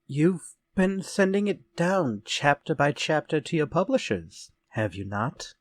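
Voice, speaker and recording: An actor playing a sinister, vengeful ex-convict murderer in retro radio drama style, speaking in a slow, theatrical, menacing way. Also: male voice, a little high, very animated, no room sound. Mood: calm, sly.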